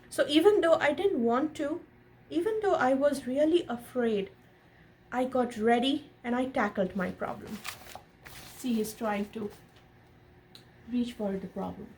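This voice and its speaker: A woman reading a picture book aloud in an expressive storytelling style, pausing to explain and ask questions of a child and viewers. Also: fairly high pitch, rough recording. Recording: noticeable background noise.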